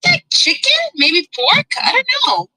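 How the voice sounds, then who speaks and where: Sarcastic woman with a high, bright voice. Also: wildly swooping pitch, moderate pace, dry recording. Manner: skeptical, needling, sarcastic. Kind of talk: joking banter on a live stream.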